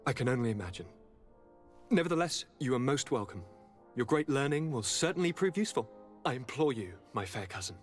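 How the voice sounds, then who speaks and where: An actor playing an eager young noble governor in a video game, with a courtly, dramatic, formal delivery. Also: male voice, medium pitch, big pitch swings, brisk, faint music behind, natural voice. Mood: gracious. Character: young nobleman governor, eager, theatrical, wounded by his father. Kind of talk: video game cutscene acting.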